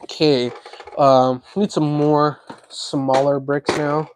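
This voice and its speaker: A man's voice: a little high, little pitch movement, takes it slowly, a little hiss or hum. A man, a native Russian speaker with a slight Russian accent, hosting a casual livestream. He chats while building, laughs often and answers viewers' comments. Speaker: livestream host.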